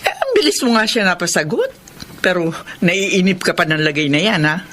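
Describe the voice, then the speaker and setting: An elderly, wistful mother in a Filipino radio romance. Her low voice rises and falls in a lively way as she dotes, with faint ambience behind. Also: moderate pace, slight background noise. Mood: teasing. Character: doting, wistful elderly mother longing for grandchildren.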